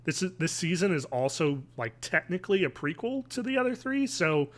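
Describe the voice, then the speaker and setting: A man, chatting casually and haltingly as a podcast co-host from home. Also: sits high, big pitch swings. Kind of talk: casual review chat. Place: home living room, video call.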